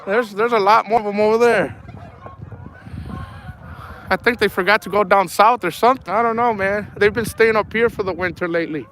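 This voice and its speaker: A high-voiced young man chats loosely to his livestream viewers from a cold lakeshore, his throat sore and his voice half gone. He rambles in an easygoing way and tacks "man" onto his sentences. Steady wind roars across his phone mic as he talks. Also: brisk, pauses often, rough recording. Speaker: fishing livestream host. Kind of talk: casual live-stream chat reading out viewer names. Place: outdoors by a windy, partly frozen lake. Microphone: phone held at arm's length. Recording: loud wind noise outdoors. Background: wind and honking geese.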